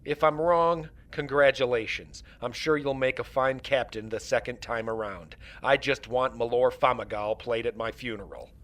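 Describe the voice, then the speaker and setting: An actor playing a defiant, gruff alien starship captain in an audio drama, commanding and wry. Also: male voice, medium pitch, normal speed, few pauses, fairly bright tone, natural voice. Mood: wry, resigned. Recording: faint background noise and ambience.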